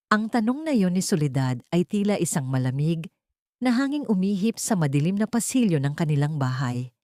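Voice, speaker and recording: Female narrator for dramatic fiction audio story, lower register, very dry recording. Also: sing-song, normal speed, mellow, no room sound.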